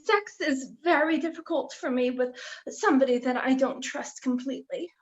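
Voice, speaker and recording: A woman's voice: high voice, normal speed, lightly acted. A woman playing a seductive, scheming grifter in a comic stage reading, gushing and coaxing on a dull recording. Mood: manipulative, pleading. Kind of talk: comic stage readers theater acting.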